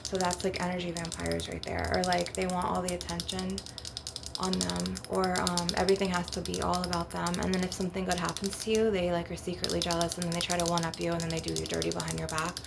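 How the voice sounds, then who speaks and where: A woman's voice: fairly low pitch, monotone, quick pace, creaky. A woman giving a rambling, casual ASMR monologue as a spiritual healer, in an informal, meandering way peppered with filler phrases. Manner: informal, meandering, frequent "like" and "you know". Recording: loud clicking and tapping noise throughout. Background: crystal and glass tapping.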